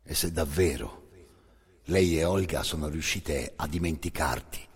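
A man narrating a dramatic second-person theatre monologue with intense, halting delivery in short phrases. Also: very deep voice, husky. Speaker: actor-narrator. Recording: long hall reverb.

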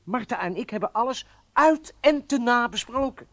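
An actor playing a determined newlywed husband and secret agent in a Dutch radio play, speaking courteously and with deliberate emphasis. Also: male voice, very high-pitched, lively intonation, fairly bright tone. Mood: persuasive, assured.

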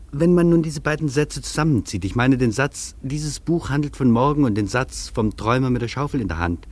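An actor playing an earnest, reflective traveller in a scripted radio feature, speaking deliberately and rhetorically. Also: male voice, medium pitch, darkish tone, natural voice. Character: earnest reflective male traveller recounting an imagined interview. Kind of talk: scripted German radio feature, literary narration.

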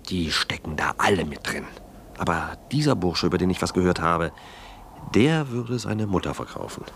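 An actor playing a domineering, scheming police inspector in a radio play, needling and coaxing with leading questions. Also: male voice, deep voice, animated, moderate pace, creaky, fairly bright tone, slight background noise, exaggerated voice.

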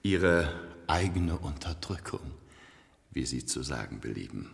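A man acting a complacent, cultured ruler of a future elite in a 1970s radio play, suave, measured and condescending, in an echoey hall. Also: rumbling low, expressive, gravelly, full character voice. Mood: condescending, self-assured.

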